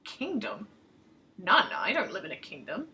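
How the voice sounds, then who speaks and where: A blunt, indignant soldier out of his depth in a storybook tale, read by a woman. The delivery is bright and forceful, defensive and emphatic. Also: mid-range voice, big pitch swings, measured pace, creaky, full character voice.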